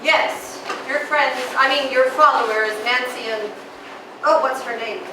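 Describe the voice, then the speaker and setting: A woman's voice: high voice, a little slow, recorded from a distance. A woman from Britain playing a haughty, prim playwright in a stage play. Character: haughty woman playwright, long living abroad. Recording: echoey stage heard from afar, background noise.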